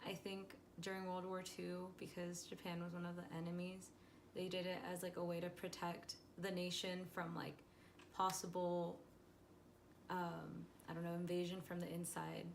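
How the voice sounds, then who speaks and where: A woman's voice: on the low side, monotone, normal speed, vocal fry, a little hiss or hum. A young woman from California, a student answering interview questions while thinking aloud and sounding unsure. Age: 20s.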